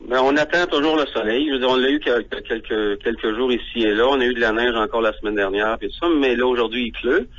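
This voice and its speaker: Male caller, mid pitch, hesitant with fillers, dull lo-fi line. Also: quick pace, hardly any pauses, a bit bright. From Ontario. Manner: hesitant, frequent fillers and restarts. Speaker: guest on phone, teacher. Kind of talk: phone interview.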